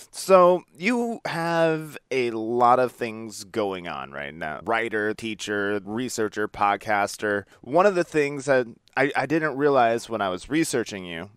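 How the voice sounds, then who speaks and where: A man's voice: mid-range voice, a bit bright, smooth. A man hosting an interview podcast, speaking in a casual, informal way. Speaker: podcast host. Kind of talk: scripted intro and interview chat.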